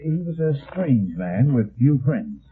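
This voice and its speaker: An actor playing a formal, loyal manservant in an old-time radio drama, polite and hesitant. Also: male voice, mid-range voice, very animated, slow pace, slightly rough, exaggerated voice. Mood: respectful. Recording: muffled, phone-like old radio recording.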